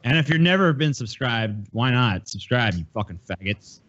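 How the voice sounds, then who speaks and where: A man's voice: mid-range voice, lively intonation, moderate pace, muffled recording, background noise. A man co-hosting a podcast with crude, profane, joking banter in casual talk. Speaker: podcast co-host.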